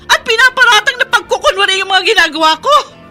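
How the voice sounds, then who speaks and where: Weary Filipino daughter, very high voice. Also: female voice, lively intonation, fast, pushed and bright, soft background music, heavily acted. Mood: tearful pleading. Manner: pleading, long-suffering, emotional. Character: weary, devoted middle-aged daughter caring for her father. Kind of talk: Filipino radio drama acting.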